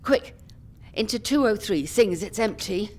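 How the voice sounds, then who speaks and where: An actress playing a brisk, scolding old care-home ringleader in an audio drama. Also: female voice, mid-range voice, lively intonation, a little mellow, a little hiss or hum, natural voice. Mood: urgent.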